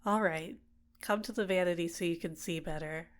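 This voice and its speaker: A woman playing a doting, possessive villain in an ASMR roleplay, speaking in a gentle, coaxing, soothing way. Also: on the low side, moderate pace, natural voice. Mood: teasing, doting. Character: doting, possessive female villain caring for a captive. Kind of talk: ASMR roleplay, personal attention.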